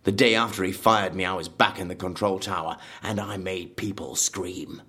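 An actor in an audio drama playing a vengeful, obsessive horror theme park designer, bitter and grandiose, with a husky voice. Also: male voice, low voice, steady tone, normal speed, bright, forceful tone, heavily acted. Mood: gloating. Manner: brooding, bitter, grandiose, prone to outbursts.

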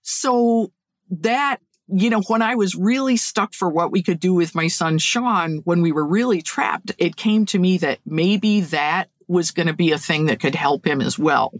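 Middle-aged woman guest, low voice, reflective and conversational, dry close sound. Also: measured pace, no echo. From upstate New York. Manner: reflective, warm storyteller with light laughs. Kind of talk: conversational interview storytelling.

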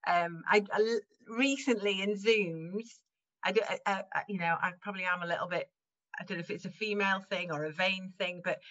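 A woman's voice: medium pitch, a little fast, a bit bright. A woman from Wales chatting casually in an interview, laughing often and restarting her sentences as she talks. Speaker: guest improviser.

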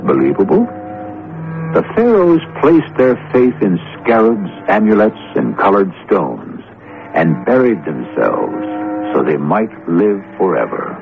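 A man's voice: lowish voice, wildly swooping pitch, measured pace, vocal fry. A man hosting a radio mystery with scripted, dramatic narration full of rhetorical questions. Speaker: host and narrator. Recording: tinny vintage radio broadcast, loud music.

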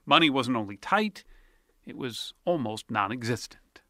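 Bright lively male news narrator. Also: medium pitch, moderate pace, dry recording, studio quality. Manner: polished, storytelling. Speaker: TV news correspondent.

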